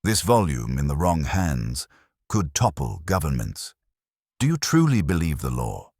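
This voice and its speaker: A man plays an imperious, brooding detective in an audiobook. The voice is extremely deep and husky, and every phrase comes out dry, formal and learned. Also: huge pitch swings, a little slow, darkish tone, dry recording, heavily acted. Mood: grave. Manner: dry, formal, pedantic diction. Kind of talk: audiobook character dialogue.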